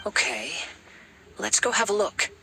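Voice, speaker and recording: A man voicing a calm, composed young wanderer in a video game, soft and measured in delivery. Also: high voice, heavily acted. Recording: clear game noise behind voice.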